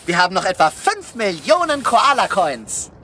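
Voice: mid-range voice, sing-song, moderate pace, bright, forceful tone, a little hiss or hum. An actor voicing a snappish, sarcastic little talking animal in a comic audio play. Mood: matter-of-fact.

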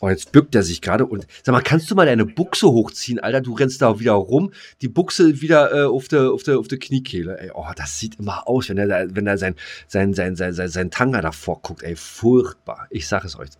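A man's voice: lowish voice, expressive, a little fast. A man hosting a podcast in casual, joking banter, rambling colloquially and often repeating his words. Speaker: podcast host.

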